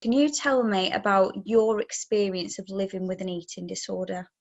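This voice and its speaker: Young woman interviewer, mid-pitched voice. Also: normal speed. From Leicester, England. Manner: careful, considerate phrasing. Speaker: interviewer and presenter. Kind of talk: explanatory introduction and interview questions.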